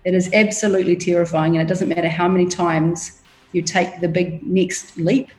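A woman's voice: lowish voice, level tone, normal speed, darkish tone, soft background music, low-quality recording. Emphatic New Zealand woman coach. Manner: emphatic, motivational, frank. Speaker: leadership coach, guest. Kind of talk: interview answers.

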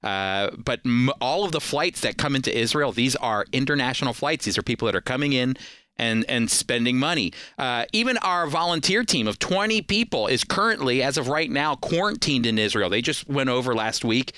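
A man's voice: mid-range voice, expressive, normal speed, pushed and bright, smooth, no room sound, clean studio sound. An American man hosting a radio program, speaking in an earnest, emphatic, conversational teaching style. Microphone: close studio microphone.

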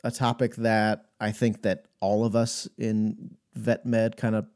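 A man's voice: fairly low pitch, monotone, a little slow, darkish tone, clear, resonant tone. A man hosting a casual podcast interview, easygoing and encouraging. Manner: easygoing, encouraging, short backchannels. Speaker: podcast host.

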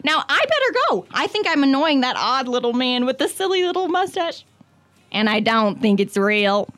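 A woman's voice: high voice, sing-song, moderate pace, fairly bright tone. A young woman playing a nosy, chatty female American tourist who gushes and rambles. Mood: nosy, gushing.

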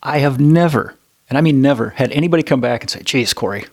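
A man who is a financial advisor, a guest on a podcast, speaking bluntly and plainly with frequent restarts and slowing down to stress key points. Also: medium pitch, a little mellow. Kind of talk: conversational interview answers.

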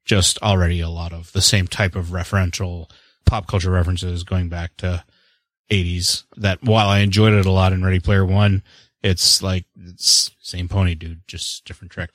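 A man's voice: low-pitched, flat delivery, moderate pace, no echo, clean studio sound. A man co-hosting a podcast, chatting casually in a rambling, wry way about movies and books. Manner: rambling, wry, self-deprecating. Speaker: podcast co-host. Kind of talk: casual chat.

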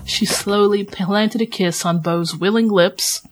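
A woman's voice: mid-range voice, steady tone, takes it slowly, faint music behind, low-quality recording. A woman co-hosting a podcast, playful and giggly as she chats casually and reads fan fiction. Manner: playful, giggly, breaks up while reading.

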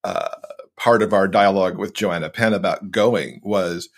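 A man with a lowish voice speaks as a podcast guest. He is informal and explanatory, talking in the relaxed language of a casual interview. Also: normal speed.

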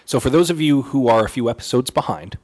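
A man with a voice of ordinary pitch. He co-hosts a casual podcast, bantering in a dry, wry and teasing way. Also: expressive, fast, darkish tone. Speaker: podcast co-host.

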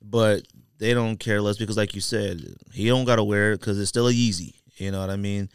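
A man's voice: on the low side, steady tone, a little fast, clear, resonant tone, no echo, clean studio sound. A man co-hosting a podcast in casual, opinionated chat, talking in a rambling, repetitive, slangy way. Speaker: podcast co-host.

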